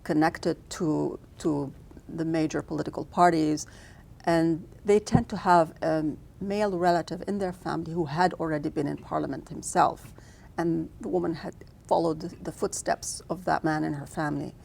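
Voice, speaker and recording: Lebanese-born woman filmmaker, lowish voice with a gravelly fry, restarts a lot. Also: steady tone, moderate pace, a little mellow. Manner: thoughtful, frequent restarts and fillers. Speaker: filmmaker guest. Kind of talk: interview answers.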